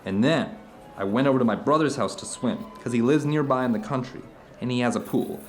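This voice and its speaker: A man's voice: mid-range voice, brisk, lightly acted. An actor playing an introspective man who tells uncanny personal anecdotes to an old friend in an audio drama, rambling, earnest and emphatic. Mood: absorbed, amazed. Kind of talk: audio drama dialogue, conversational monologue.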